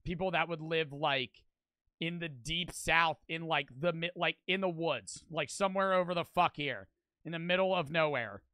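A man's voice: fairly high pitch, normal speed, bright, forceful tone, no room sound. A man with a New Jersey accent, chatting bluntly and crudely while reacting to a video on a live stream. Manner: blunt, crude, self-mocking. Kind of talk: casual live-stream chat.

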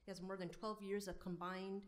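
A woman's voice: mid-range voice, level tone, fairly quick. A woman hosting a semi-formal meeting from a podium microphone, introducing speakers and guiding the room. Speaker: program host introducing speakers. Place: government board chamber, indoors. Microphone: gooseneck podium microphone. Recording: faint room noise on podium mic.

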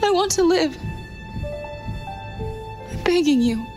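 A woman playing a young female android, polite, innocent and earnest, in an animated sci-fi film. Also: very high voice, slow, over music, exaggerated voice. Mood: terrified, pleading. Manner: polite, earnest, precisely articulated.

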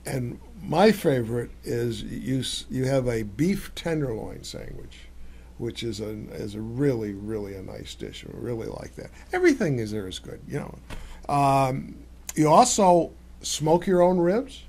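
A man's voice: medium pitch, very animated, measured pace, creaky, darkish tone, a little hiss or hum. An older man hosting a cooking talk show, chatting enthusiastically with guests and rambling through restarts. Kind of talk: casual chat. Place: studio set, seated on leather couch.

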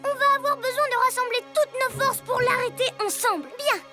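A spirited, earnest little girl superhero with a very high voice. She speaks emphatically in cartoon style over prominent music. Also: fairly even intonation, fairly quick. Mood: resolute. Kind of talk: animated cartoon dub. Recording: music behind the voice.

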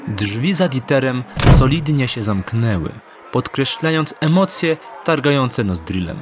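A man narrating an audio drama in an even storytelling tone. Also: mid-range voice, measured pace, soft background music.

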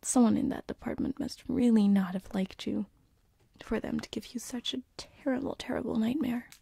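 A woman playing a tender, lovestruck succubus-turned-sleep paralysis demon in an ASMR roleplay, with a soft, breathy whisper and an intimate, hesitant manner. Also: on the high side, no echo, heavily acted. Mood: hopeful, protective. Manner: intimate, hesitant, slow and soothing. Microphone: close binaural microphone.

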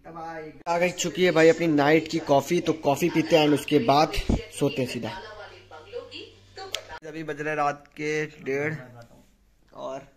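A young man chatting casually and informally to viewers as a travel vlogger, using slang, on a handheld phone. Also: medium pitch. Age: young adult. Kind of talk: casual vlog chat to viewers. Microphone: phone held at arm's length.

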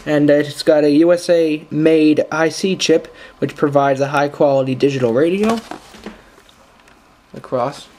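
A man hosting a casual unboxing vlog in a halting, informal way, recorded indoors on a handheld camera's built-in microphone. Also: on the high side, fairly even intonation, darkish tone, slight background noise. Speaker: video host.

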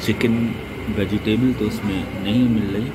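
A man with a Pakistani Urdu accent reviewing restaurant dishes in a relaxed way, slipping into English now and then. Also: fairly low pitch. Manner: unhurried, tasting and commenting, mixing in English. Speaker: food vlogger. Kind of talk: casual food review. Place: restaurant, by a busy road. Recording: loud street and traffic noise. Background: traffic and city ambience.

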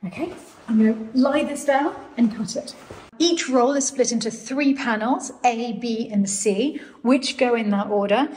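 A woman's voice: on the high side, a little slow, darkish tone, a little hiss or hum. A woman, chatting enthusiastically to her phone camera in a large bathroom while she works. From England. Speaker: vlog host. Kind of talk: casual vlog narration. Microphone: handheld phone camera at arm's length.

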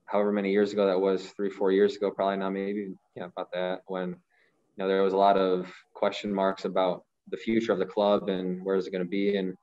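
A man with a very low voice answers press questions over a slightly dull, lo-fi video call. He talks in a measured way, often restarting and repeating words as he gathers his thoughts. He speaks like a professional athlete from Ohio. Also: completely flat delivery, a little mellow. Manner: measured, repeats words while thinking, many fillers. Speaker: professional goalkeeper. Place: small room, branded backdrop. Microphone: video call, masked.

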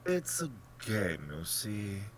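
A man's voice: low voice, very animated, takes it slowly, bright, forceful tone, slight background noise, voice pushed up, exaggerated voice. A man voicing a genteel, smug, cold-blooded general who hunts men, speaking with pompous, blandly polite theatrical emphasis in an audiobook. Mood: blandly menacing. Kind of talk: dramatic audiobook character acting.

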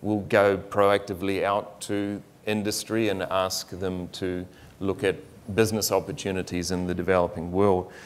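A middle-aged man speaking as a panelist at a conference, explaining his organization in a measured way and listing examples. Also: sits low, little pitch movement, slight background noise. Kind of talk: panel discussion answer. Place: conference room.

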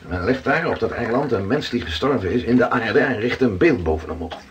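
An actor playing a weathered seafarer in a radio drama, telling his adventures slowly and with awe in a rough voice. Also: mid-range voice, expressive, dull audio, a little hiss or hum, natural voice. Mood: awed, reflective. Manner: slow, dramatic storytelling. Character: weathered Dutch seafarer Gerrit, awed storyteller, around 1600.

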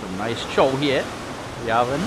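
A man with a Trinidadian accent vlogging a casual outdoor day by the sea, chatty and relaxed, on an action camera. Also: medium pitch, moderate pace. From Trinidad and Tobago. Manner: relaxed, chatty, Creole phrasing. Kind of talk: casual outdoor vlog narration. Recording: wind and surf noise on a camera mic.

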